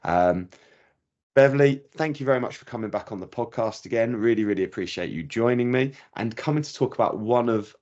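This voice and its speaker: A man's voice: medium pitch, a little fast, a little mellow, lo-fi sound. A man hosting a podcast, talking hesitantly with many restarts, friendly toward his guest. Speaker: podcast host. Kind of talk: podcast interview.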